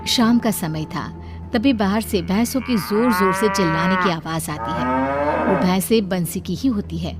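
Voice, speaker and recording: A woman's voice: fairly low pitch, expressive, unhurried, heavy background noise. A woman giving a scripted narration of a story.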